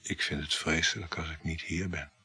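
Wry, needy man in his thirties, low voice, very husky, hesitant delivery, intimate radio play. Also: steady tone, normal speed, sharp tone, no room sound, heavily acted. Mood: jealous, resigned. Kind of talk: Dutch radio drama acting, intimate dialogue.

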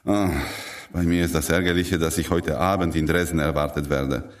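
An actor playing a genial, modest old doctor in a radio play, with an affable and wry manner. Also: male voice, rumbling low, steady tone, moderate pace. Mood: affable, explaining. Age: older. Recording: slightly distant from the mic.

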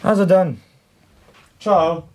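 An actor playing a breezy, needling grown son in a radio drama, with a casual delivery. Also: male voice, high voice, drawn-out words, dark tone. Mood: offhand, teasing. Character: breezy, offhand grown son leaving home for the evening. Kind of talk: naturalistic radio drama acting.

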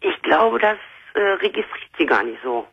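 A woman calling in to a late-night talk show, telling her story in a chatty, animated way. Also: medium pitch, normal speed, breathy edge. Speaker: caller. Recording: muffled phone-line audio.

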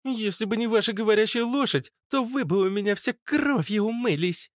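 A man voicing a defiant, foul-mouthed teenage girl with a squeaky, snappy voice in a narrated story. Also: normal speed, no room sound, clean studio sound, lightly acted. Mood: hostile snarling. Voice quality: squeaky, shrill. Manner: snappy, hissing, insolent.

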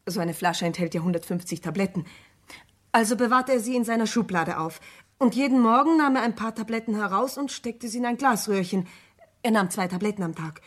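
An actress as a composed, precise woman secretary with a mid-range voice. She speaks formally and deliberately, choosing her words with care, in radio crime play style. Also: mid-range voice, close to an everyday voice. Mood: explaining. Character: composed, precise female secretary answering police.

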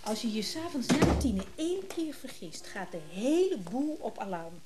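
Radio drama role: candid, flirty wealthy wife, voice on the higher side, chatty, halting delivery. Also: female voice, very animated, very noisy. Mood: breezy, welcoming. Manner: chatty, hesitant, breaking off mid-thought. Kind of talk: naturalistic radio drama acting.

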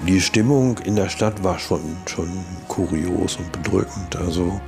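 Older man, deep creaky voice. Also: unhurried, music in the background. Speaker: studio eyewitness interviewee, sound engineer. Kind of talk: reminiscing in a documentary interview.

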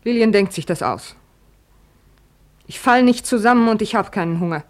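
A woman acts a weary young woman in an old radio play, her voice of middling pitch. She is proud and guarded, answering in short, firm phrases that shut the other person out. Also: expressive, normal speed, lightly acted. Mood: defensive, proud. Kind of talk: 1950s German radio play acting.

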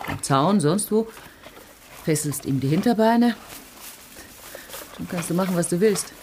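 A woman's voice: sits low, background noise. An actress playing a bitter, blunt, tough countrywoman in a radio drama, speaking in a terse, resolute way. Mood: matter-of-fact, grim. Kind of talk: naturalistic radio drama dialogue.

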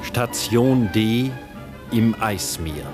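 A man's voice: lowish voice, expressive, extremely slow, over loud music. A man announcing a title and cast in the style of 1950s radio.

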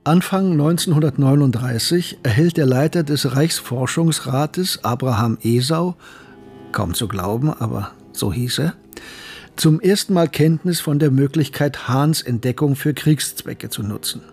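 Documentary narrator, rough-edged husky voice, medium pitch, sober, measured scripted history telling, radio play. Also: male voice, darkish tone, soft background music. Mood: measured, factual. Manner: measured, factual.

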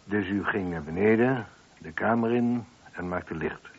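Low, husky, sardonic detective inspector. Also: male voice, a little slow. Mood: probing. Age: 30s. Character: dry, sardonic detective inspector, mid-thirties, questioning firmly. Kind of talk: radio play acting. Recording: dull, lo-fi old radio recording.

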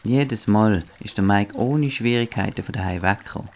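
A man's voice: on the low side, moderate pace, darkish tone, slight background noise. A man with a Swiss German accent narrating a scripted children's story.

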